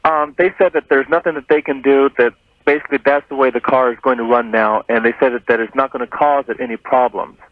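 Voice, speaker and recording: A man phones in to a talk radio show and lays out a complaint at a quick clip. His delivery is conversational. The line is narrow and tinny, with a dry sound and no room around it. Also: medium pitch, fairly even intonation, dry recording.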